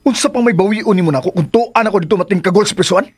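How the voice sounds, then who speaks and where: A man's voice: high voice, quick pace, slightly rough. An actor playing a cornered man pressured by his lover, exasperated and pleading in radio drama style.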